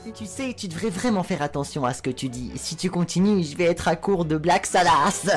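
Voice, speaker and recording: A woman's voice: fairly low pitch, lively intonation, a little fast, soft background music, lightly acted. A woman voicing a crude, flamboyant male spider demon with a sarcastic, lewd, mocking drawl in a fan dub. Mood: sneering.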